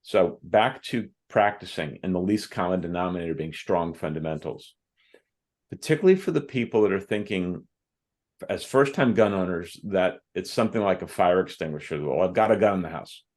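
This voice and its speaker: A man on a podcast as a guest author and firearms instructor, telling stories from his classes in a thoughtful, measured way. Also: low-pitched, a little mellow.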